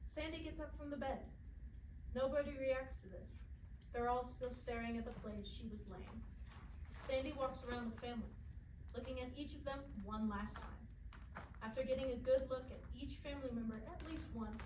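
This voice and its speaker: Female stage directions reader, higher-pitched, scripted narration, captured from far off in an echoey room. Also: normal speed. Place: roomy indoor performance space, far microphone. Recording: echoey, distant, noisy room recording.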